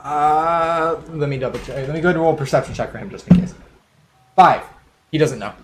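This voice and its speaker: A man's voice: fairly high pitch, fairly quick, faint music behind. Rambling man running a tabletop game. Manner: rambling, many fillers and restarts. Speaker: game master. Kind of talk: narrating a tabletop game over a voice call. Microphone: headset over a voice call.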